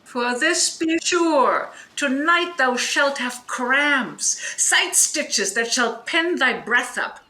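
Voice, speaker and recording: A woman's voice: high voice, animated, slow, hardly any pauses, sharp tone, full character voice, rough recording. An actress playing a stern, imperious sorceress and mother in a Shakespearean audio drama, with declamatory delivery. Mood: scornful.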